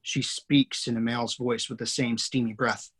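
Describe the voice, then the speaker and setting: Male reader of script action lines, husky, steady delivery. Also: mid-range voice, normal speed. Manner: steady, matter-of-fact. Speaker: screenplay reader. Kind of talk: reading screenplay action lines.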